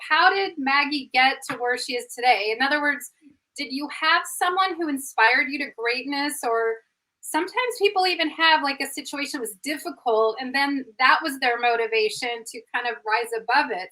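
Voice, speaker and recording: A woman's voice: high-pitched, pushed and bright, low-quality recording. A middle-aged woman hosting a live interview as a performance coach, effusive and full of praise.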